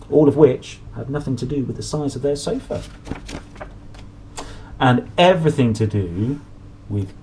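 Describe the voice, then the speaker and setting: A man in his thirties reading a children's picture book aloud, playful and dramatic with drawn-out emphasis. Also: mid-range voice, mellow, slight background noise, poor audio. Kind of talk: animated children's story reading. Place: small room at home, at a desk.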